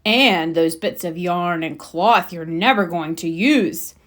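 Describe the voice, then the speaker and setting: A woman's voice: lowish voice, slow, pitched below the performer's own voice. Indignant storybook papa bear, voiced by a woman. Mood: accusing. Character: easily annoyed father bear in a storybook.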